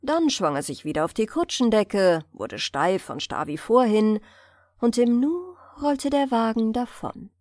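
Female fairy-tale narrator: unhurried, animated intonation, careful diction, dry sound. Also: a little high, dry recording. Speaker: audiobook narrator. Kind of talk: scripted fairy-tale narration, bedtime storytelling.

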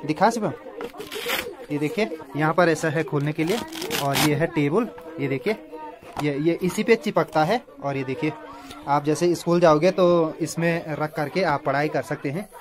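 A man's voice: a little high, normal speed, a crowd in the background. Picture a young man chatting away to his phone camera as he walks around a hill village, filling his talk with easy asides to the viewer. Voices from a group carry behind him. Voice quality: chatty, keeps saying "bhai". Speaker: vlogger. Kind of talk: casual vlog narration. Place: outdoors in a mountain village.

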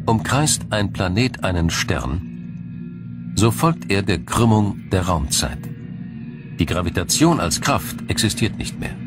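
A man with a low voice delivers scripted documentary narration. He explains in a measured, formal way, sentence by careful sentence, over faint background music. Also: sing-song.

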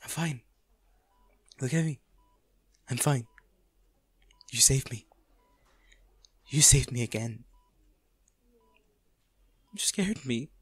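A man playing a wealthy, devoted, wounded lover in an intimate, halting audio drama. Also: mid-range voice, breathy edge, no room sound. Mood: relieved, shaken. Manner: slow, halting, emotional delivery. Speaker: voice actor in an audio roleplay.

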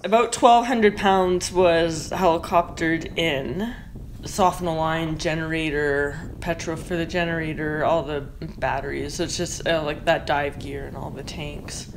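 A voice at mid pitch lists gear and describes the route in a steady, plain way. Clear noise sits behind the speech. It sounds like a field interview on a remote trip. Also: measured pace, poor audio. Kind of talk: documentary interview.

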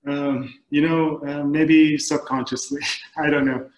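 A man in his forties from the Middle East, a film director giving thoughtful, halting answers on a video call panel. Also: a little high, little pitch movement. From Middle East, born in Kuwait. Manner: halting, searching for words. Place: indoors, book-lined room at home. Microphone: computer mic on a video call. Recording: echoey room over video call.

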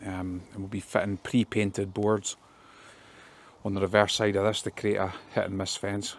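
Man, low monotone voice, tradesman narrating his own job vlog outdoors on a handheld phone, faint background ambience. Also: normal speed. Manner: plain, practical, with many ums. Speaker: fencing contractor. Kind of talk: casual work vlog walkthrough. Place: outdoors in a garden.

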